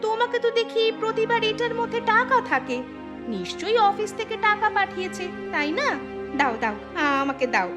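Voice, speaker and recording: High-pitched fretful, scolding village wife. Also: female voice, very animated, a little fast. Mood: eager. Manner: scolding, questioning. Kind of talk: animated story dialogue acting. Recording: music under the voice.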